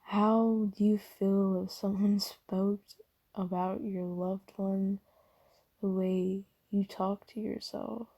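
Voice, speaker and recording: A woman voicing a gentle, caring demon in a soft ASMR roleplay, hushed, breathy and soothing, often slipping into whispers. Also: mid-range voice, level tone, takes it slowly, muffled recording. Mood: soothing, understanding. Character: gentle, caring female sleep paralysis demon comforting someone.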